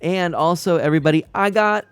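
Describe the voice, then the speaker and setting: A man's voice: on the high side, fairly quick, clear, resonant tone, no echo, faint music behind. Laughing man calling out gameplay. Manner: laughs often, gives gameplay calls. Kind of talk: casual gaming chat.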